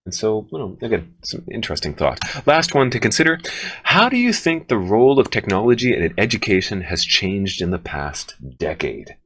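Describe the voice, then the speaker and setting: Man, low bass voice, teacher, conversational lesson delivery, deliberate, encouraging. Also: sing-song, fairly bright tone, slightly rough.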